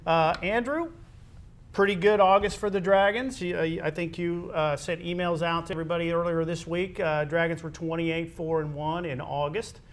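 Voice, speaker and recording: An American man hosts a conversational sports interview. His voice sits a little high for a man, and he phrases things haltingly, rewording as he goes. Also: steady tone. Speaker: host.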